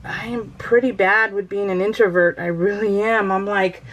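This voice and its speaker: A woman's voice: mid-range voice, fairly even intonation, moderate pace, rough recording. A middle-aged American woman chatting casually over her craft project as a vlogger, rambling and easily sidetracked, with a bubbly manner.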